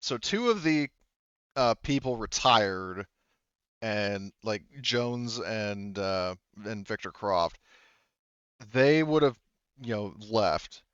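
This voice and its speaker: A man acting as game master, improvising explanations in a halting way and often circling back to rephrase himself. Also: on the low side, animated, a bit bright, clean tone, dry recording, muffled recording. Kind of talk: improvised narration for a tabletop roleplaying game.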